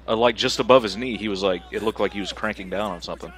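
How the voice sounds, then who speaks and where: A man's voice: fairly low pitch, fast, fairly bright tone, slight background noise. A man chiming in with dry wisecracks during a video game commentary. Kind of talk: casual banter.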